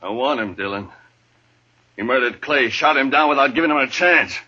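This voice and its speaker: A man's voice: mid-range voice, normal speed, bright, forceful tone, slightly rough. A man playing a vengeful, accusing townsman in a 1950s radio western, menacing and confrontational. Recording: dull vintage radio recording.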